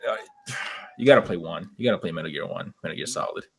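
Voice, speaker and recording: A man with a slightly low voice chats casually as a podcast co-host. His delivery is halting, with words often repeated as he works toward his point. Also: creaky, breathy edge. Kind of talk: casual chat about movies and video games.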